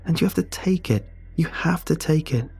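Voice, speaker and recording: A man's voice: medium pitch, moderate pace, gravelly, a little mellow, husky, voice pushed up. A man voicing an earnest young man who pleads desperately with a friend. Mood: pleading.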